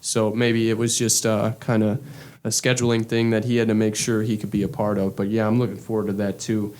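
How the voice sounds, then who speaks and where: A man hosting a casual sports talk show, speaking in a relaxed, unhurried way with frequent "uh" and "um". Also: lowish voice. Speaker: podcast host.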